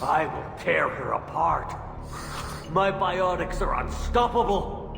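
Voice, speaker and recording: A drugged alien merchant in a sci-fi game, full of godlike delusions. He proclaims slowly and theatrically in a big reverberant space with music behind him. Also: on the high side, creaky, heavily acted. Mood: grandiose. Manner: grandiose, theatrical proclaiming, slightly woozy. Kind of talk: video game voice acting.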